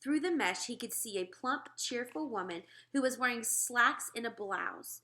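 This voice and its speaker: A woman narrating a children's book aloud in an expressive storytelling style. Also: on the high side, moderate pace.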